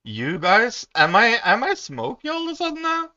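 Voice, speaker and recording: A man's voice: very high voice, huge pitch swings, normal speed, pushed and bright, no echo. A man joining a stream argument, amused and stammering as he cuts in. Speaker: stream co-host. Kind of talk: livestream banter. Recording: dull, lo-fi mic.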